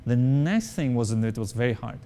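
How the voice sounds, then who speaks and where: A man's voice: medium pitch, animated, a little fast, mellow, slight background noise. Emphatic non-native English-speaking man in interview. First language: not English. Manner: emphatic, repeats points, ends on "right?". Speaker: company co-founder being interviewed. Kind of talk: conversational interview answers.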